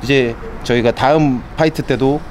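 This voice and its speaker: A man's voice: medium pitch, moderate pace, slight background noise. A man in his thirties interviewing fighters after a bout outdoors with a handheld mic, upbeat and full of fillers. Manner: upbeat, filler-heavy questions. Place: outdoor poolside rooftop.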